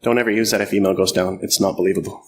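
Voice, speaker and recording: A man's voice: low-pitched, steady tone, rapid-fire, a little hiss or hum. A middle-aged man from Canada giving a conference talk, self-deprecating and joking, with many ums and uhs. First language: French or English, bilingual. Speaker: conference speaker. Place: conference hall.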